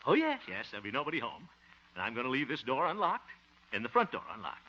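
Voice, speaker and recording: An actor playing the fussy, easily exasperated lead of a 1950s radio sitcom, who chuckles often. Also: male voice, mid-range voice, wildly swooping pitch, fast, sharp tone, exaggerated voice. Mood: earnest. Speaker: lead of the show, radio host character. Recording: muffled hissy 1950 radio transcription.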